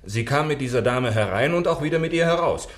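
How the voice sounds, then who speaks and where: An actor playing a defensive, stubborn witness under questioning in an early 1960s German radio crime play. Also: male voice, mid-range voice, expressive, fast, lightly acted.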